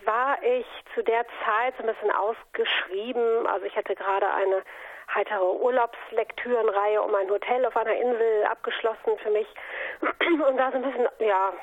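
A woman's voice: on the high side, fairly even intonation, moderate pace. A woman from a North Sea island in Germany, an author answering interview questions in a conversational, reflective storytelling way. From North Sea island of Juist, Germany. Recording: narrow phone-like line.

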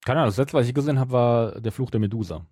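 A man's voice: mid-range voice, rapid-fire, warm tone, slightly rough, dry recording, clean studio sound. A man talking casually as the co-host of a podcast. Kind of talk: casual chat.

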